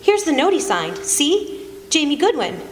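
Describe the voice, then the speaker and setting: Woman playing earnest forthright local woman, high lilting voice, radio play, long hall reverb, clear background noise. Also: sing-song, measured pace. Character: plain, forthright woman offering helpful evidence. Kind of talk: 1940s radio drama acting. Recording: noisy live stage, long hall reverb.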